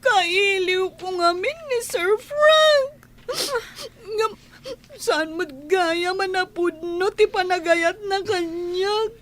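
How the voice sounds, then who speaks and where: Very high-pitched lovesick young woman. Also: takes it slowly, a bit bright, exaggerated voice. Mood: tearful, pining. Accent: Ilocano. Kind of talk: Ilocano radio drama acting.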